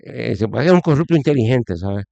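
A Puerto Rican man with a Puerto Rican accent, giving forceful opinions as a guest, with lots of fillers and restarts. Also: medium pitch, lively intonation, brisk, gravelly, no echo, muffled recording. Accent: Puerto Rican Spanish. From Puerto Rico. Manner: emphatic, many fillers and restarts. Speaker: guest commentator. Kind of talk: opinionated conversational commentary.

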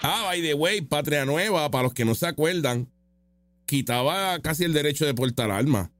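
A man's voice: fairly high pitch, animated, moderate pace, a bit bright, dry recording. A man giving casual, opinionated reaction commentary, mocking and full of rhetorical questions. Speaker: political commentator reacting to street interview clips.

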